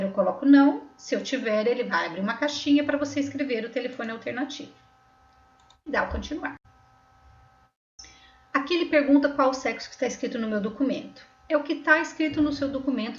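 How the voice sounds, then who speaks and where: A woman from Brazil with a São Paulo accent, patiently walking viewers through an online form step by step and often checking they are following. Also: sits high. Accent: Brazilian Portuguese, São Paulo. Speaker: tutorial host. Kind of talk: step-by-step screen tutorial voice-over. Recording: roomy, slightly dull recording.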